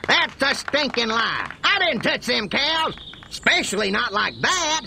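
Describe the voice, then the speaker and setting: An actor playing a cranky, indignant old farmer in a comic video game, with a rural American accent. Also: male voice, very high-pitched, sing-song, a little slow, creaky, bright, forceful tone, a little hiss or hum, heavily acted. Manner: indignant, folksy, blustering.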